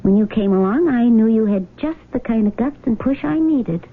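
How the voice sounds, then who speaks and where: Hard, domineering wife, old radio. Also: female voice, fairly high pitch, animated, normal speed. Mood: coldly threatening. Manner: commanding, emphatic. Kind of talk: 1970s radio drama acting. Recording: tinny old radio broadcast, faint noise.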